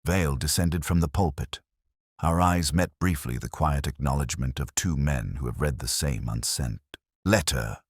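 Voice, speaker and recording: Very deep-voiced man narrating a detective story. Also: moderate pace, slightly rough, no echo, clean studio sound. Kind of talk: scripted first-person detective story narration.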